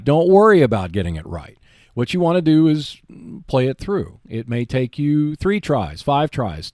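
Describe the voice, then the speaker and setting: A man's voice: mid-range voice, darkish tone, no echo, clean studio sound. A man hosting a gaming show, giving instructional tips in an explanatory, emphatic and reassuring way. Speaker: host of a tabletop gaming show. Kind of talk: instructional tips talk on board wargaming.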